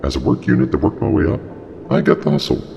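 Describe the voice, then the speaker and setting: Smug, gravel-throated scheming service unit. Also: male voice, big pitch swings, quick pace, a little mellow, dull audio, a little hiss or hum, heavily acted. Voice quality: very deep, creaky, breaking. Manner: self-important, mock-considerate. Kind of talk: in-character mock interview. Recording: long reverb, faint music.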